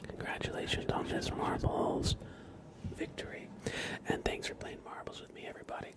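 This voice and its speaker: A man hosting a casual ASMR livestream, speaking softly and informally, often slipping into a breathy whisper. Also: normal speed. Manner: relaxed, informal, uses y'all. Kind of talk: casual ASMR stream chat.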